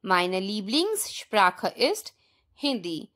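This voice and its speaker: A woman with an Indian accent teaching a language lesson in a deliberate, instructional way, repeating example sentences. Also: mid-range voice, very animated, fairly bright tone.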